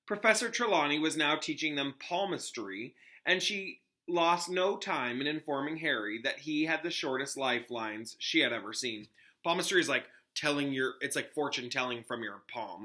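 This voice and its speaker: Male teacher, story read-aloud, expressive, informal asides, very sharp bright tone. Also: mid-range voice.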